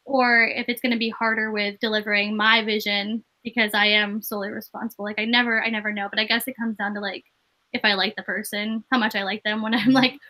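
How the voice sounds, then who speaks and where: A woman's voice: medium pitch, flat delivery, quick pace, pushed and bright. A young woman from Maine, an actress and comedian, chatting casually as an interview guest with many "like" fillers.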